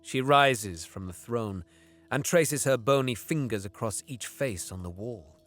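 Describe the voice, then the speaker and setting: An actor playing a bitter young alien prince, narrating an audio drama deliberately and sometimes dropping into hissed whispers. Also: male voice, medium pitch, expressive, fairly bright tone, soft background music. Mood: brooding.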